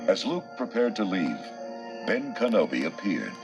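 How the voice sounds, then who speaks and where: A man narrates an adventure storybook from a script. His voice is deep, and loud music runs beneath it throughout. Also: very animated, moderate pace, vocal fry.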